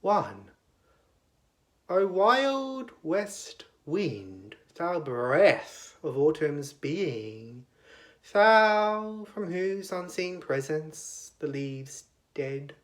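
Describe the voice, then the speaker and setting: A man giving a dramatic recitation of poetry in a declamatory manner, with long pauses between phrases. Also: fairly high pitch. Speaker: poetry reader.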